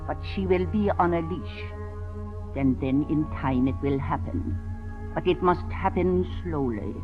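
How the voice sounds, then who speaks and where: Casting: icy, imperious older mother, scheming and measured; vintage radio drama, dull recording with music. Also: low voice. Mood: cold scheming. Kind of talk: 1940s radio drama acting.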